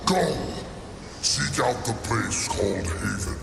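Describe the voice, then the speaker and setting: An actor voicing an ancient supernatural council judge in a video game, booming, solemn and imperious, with archaic formal speech. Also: male voice, animated, slow pace, exaggerated voice. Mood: stern, imperious. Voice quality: gravelly, booming. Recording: cavernous reverb with loud music behind.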